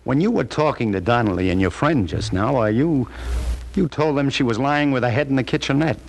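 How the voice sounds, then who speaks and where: An actor playing a blunt, needling police lieutenant in a 1950s radio drama. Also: male voice, medium pitch, lively intonation, fairly quick, creaky. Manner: blunt, needling, drawling questions. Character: tough, wisecracking male police lieutenant.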